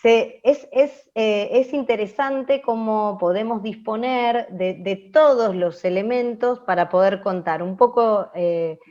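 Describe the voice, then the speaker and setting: A woman's voice: mid-range voice, slow, hardly any pauses, darkish tone. A woman teacher commenting informally and reflectively, repeating phrases as she searches for words. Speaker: radio workshop teacher. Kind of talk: informal open-class commentary. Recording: dull muffled audio.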